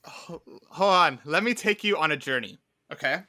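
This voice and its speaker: A man co-hosting a podcast in casual banter, telling things like an animated storyteller and often checking in with "right?". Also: a little high, moderate pace, dry recording, studio quality. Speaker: podcast co-host.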